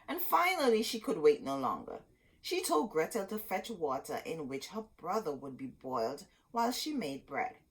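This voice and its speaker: A woman reading a children's story aloud as host, expressive and dramatic, drawing out words for emphasis. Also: lowish voice, breathy edge, poor audio.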